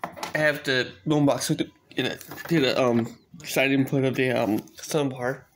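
A man chatting casually in a home video, halting and repeating himself as he shows off a collection. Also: medium pitch, fairly even intonation. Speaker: cassette collector showing a collection. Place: small bedroom, at a closet, indoors. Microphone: handheld phone camera, speaker behind it. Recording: noisy handheld home recording, clicks.

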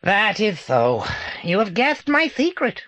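An actor with a British accent playing a reclusive, secretive old film actor in a children's audio drama, deliberate and theatrical. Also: male voice, high voice, huge pitch swings, bright, forceful tone, no room sound, heavily acted. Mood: confessional.

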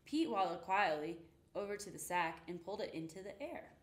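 A young woman tells a children's story with a lively, rising and falling delivery. She sits in a roomy space that adds some echo to her voice. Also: lowish voice, a little fast. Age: young adult. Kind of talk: children's picture book read-aloud. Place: indoor room with painted rainbow backdrop. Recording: roomy, echoing indoor sound.

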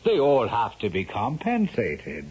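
An actor playing a sly, garrulous Irish storyteller in a radio drama, blustering and stammering with an Irish accent. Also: male voice, medium pitch, huge pitch swings, measured pace, a little mellow, breathy edge, heavily acted. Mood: sly, storytelling. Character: sly, garrulous Irish storyteller and anxious old rogue father. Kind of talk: 1970s radio drama acting. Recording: dull, noisy 1970s radio broadcast.

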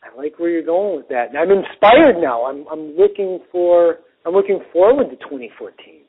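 An American man with a husky voice chatting casually with a friend, agreeable and a little halting. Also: on the high side, expressive. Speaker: podcast co-host. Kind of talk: casual phone chat between friends. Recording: muffled lo-fi phone-call audio.

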